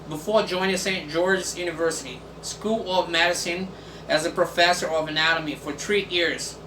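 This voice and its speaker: A man whose first language is Portuguese, hosting a casual video and reading text aloud in English with many pauses and fillers. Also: sits high, sharp tone. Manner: halting, many fillers and restarts. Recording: echoey room from far off, faint background noise.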